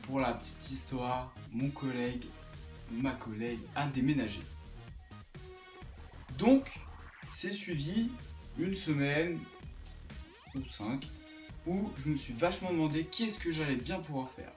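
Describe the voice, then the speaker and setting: A high school student in his late teens who interviews classmates and narrates his own film in a relaxed, wry way. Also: unhurried, long pauses. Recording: echoey, distant, faint music behind.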